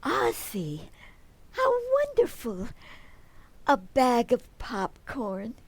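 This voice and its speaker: A woman's voice: a little high, wildly swooping pitch, extremely slow, slight background noise, exaggerated voice. A woman acting a fond, sensible, patient long-married wife in an old-time radio comedy. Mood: fond, touched. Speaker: amateur actor.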